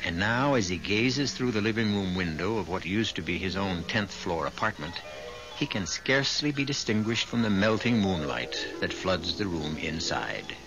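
A man narrating and hosting a 1970s radio drama in a scripted, theatrical, deliberate way. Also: deep voice, animated. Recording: muffled old radio broadcast. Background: music.

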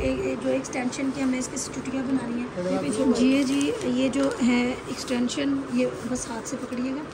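A woman with a Pakistani accent giving an informal, instructive hairstyling tutorial as a salon instructor, often checking that listeners follow. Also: high voice. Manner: instructive, repeatedly checks with "okay". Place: indoor beauty salon. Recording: very noisy salon room recording. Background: loud background noise.

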